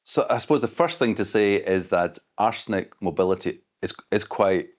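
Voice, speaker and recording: A Scottish man speaking as an expert guest, explaining things in a measured, careful, conversational way. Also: on the low side. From Scotland.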